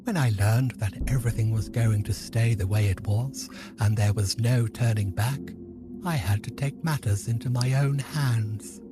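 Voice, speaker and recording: A man's voice: mid-range voice, moderate pace, over music, lightly acted. A man playing a lonely, regretful old man who bends time, speaking solemnly in an audio drama. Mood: grave, confessional. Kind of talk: scripted audio drama acting.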